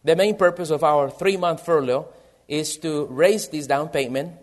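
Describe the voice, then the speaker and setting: A man from El Salvador with a Salvadoran Spanish accent, a missionary pastor speaking in an earnest, measured way in a church service. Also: on the high side. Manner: earnest, measured, reads from prepared notes. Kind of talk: missionary church presentation and sermon.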